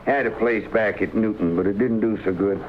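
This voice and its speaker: A man's voice: lowish voice, a little fast, natural voice. A man playing a middle-aged homesteader father with a rural American accent, folksy and drawling, in a radio western. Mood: affable. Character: folksy, hospitable homesteader father hiding guilt. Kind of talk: 1950s radio western acting. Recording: muffled, noisy old radio recording.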